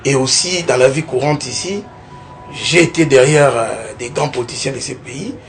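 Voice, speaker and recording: A young man from the Kongo Central region of DR Congo, a political candidate giving a TV interview, talking in a lively way and often tagging his points with a rising question. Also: mid-range voice, normal speed, faint music behind. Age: young adult. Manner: animated, keeps adding "n'est-ce pas?", rising tone on points.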